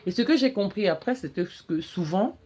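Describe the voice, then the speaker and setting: Halting woman hosting a show. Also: mid-range voice, lively intonation, dark tone, husky. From Cameroon. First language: English. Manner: halting, repeats words while searching for them. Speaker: talk show host. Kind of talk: solo monologue to camera.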